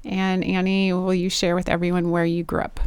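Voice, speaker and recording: A woman hosting a casual interview podcast, encouraging and quick to laugh. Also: fairly low pitch, monotone, clear, resonant tone, no echo. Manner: warm, encouraging, laughs often. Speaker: podcast host.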